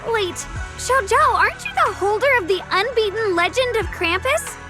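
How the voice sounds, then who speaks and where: A very high-voiced earnest young heroine. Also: female voice, lively intonation, moderate pace, sharp tone, soft background music, heavily acted. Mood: flustered. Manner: sincere, hesitant, eager. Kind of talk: anime-style game dialogue.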